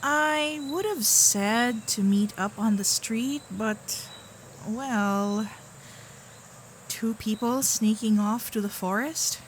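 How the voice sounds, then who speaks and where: A woman's voice: a little high, slight background noise. A woman voicing a sweet, shy, protective kitsune girlfriend in an ASMR roleplay, speaking in a hesitant, caring way. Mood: gentle, caring. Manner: hesitant, flustered, caring, softly halting.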